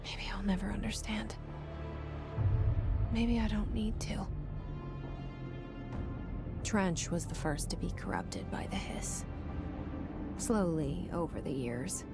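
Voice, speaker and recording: An actress playing a resolute woman director in a video game, delivering measured, hushed, introspective monologue. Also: medium pitch, vocal fry, loud music behind the voice. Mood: searching, intimate.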